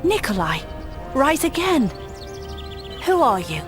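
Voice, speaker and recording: A woman's voice: high-pitched, very animated, slow, music in the background, exaggerated voice. An actress playing a grieving young queen in a video game, curt, stern and imperious. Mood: stricken, suspicious. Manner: stern, blunt. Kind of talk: video game cutscene acting.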